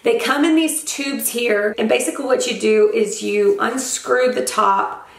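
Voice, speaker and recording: A young woman's voice, scratchy and hoarse from a recent cold, sits a little high as she talks eagerly through her favorite beauty products. She chats casually, with plenty of "like" and "um". The sound carries the echo of a roomy space at home. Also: a little slow. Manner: chatty, enthusiastic, frequent "like" and "um". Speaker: beauty vlogger.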